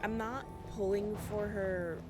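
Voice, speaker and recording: A woman game streamer with a mid-pitched voice, chatting casually and thinking aloud as she plays. Loud game music runs behind her commentary. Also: steady tone, slow. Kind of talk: casual live gameplay commentary. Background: video game music and dialogue.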